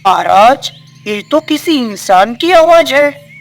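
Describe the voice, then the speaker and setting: A dutiful, kind-hearted minister to a king, played by a man. He speaks slowly and respectfully in a storybook drama. Light music and chirping birds sit behind the voice. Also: extremely high pitch, animated, full character voice. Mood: concerned. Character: dutiful, compassionate male royal minister. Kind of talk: dramatised Hindi moral story.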